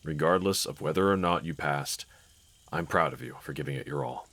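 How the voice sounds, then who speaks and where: A man playing a gentle, encouraging husband in an intimate scripted roleplay audio, speaking in a considerate, reassuring manner. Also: very deep voice, fairly quick, dry recording. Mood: encouraging, supportive. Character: gentle, encouraging adult husband, calm and caring. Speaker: character audio voice actor.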